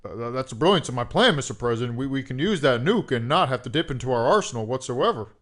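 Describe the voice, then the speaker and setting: A man's voice: medium pitch, expressive, fast, fairly bright tone, natural voice. A man playing a zealous, hawkish government adviser in a comedic audio drama, speaking in a formal, grave and insistent manner.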